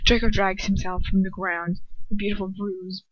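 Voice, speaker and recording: A woman's voice: medium pitch, level tone, normal speed. A woman narrating a first-person story as an amateur reader, stumbling now and then and correcting herself. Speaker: amateur fan fiction reader. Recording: dull, lo-fi home recording.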